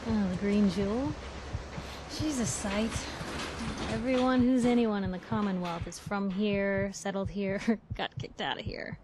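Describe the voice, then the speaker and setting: An actress playing a brash, sarcastic, pushy young newspaper reporter in a video game, theatrical and punchy. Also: female voice, medium pitch, fairly even intonation, measured pace, darkish tone, a little hiss or hum, lightly acted. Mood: wry.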